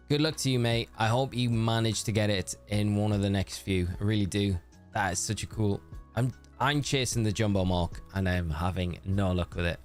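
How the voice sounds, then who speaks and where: A man chatting casually on a live stream, greeting viewers by name and calling them mate. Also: on the low side. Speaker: streamer reading live chat. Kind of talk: casual live-stream chat while shiny hunting.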